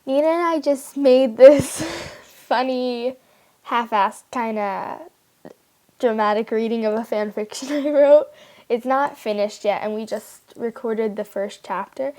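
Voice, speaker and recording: A woman's voice: on the high side, darkish tone. A young woman chatting casually to online viewers in a giggly, rambling, informal way. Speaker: amateur fan fiction writer and reader.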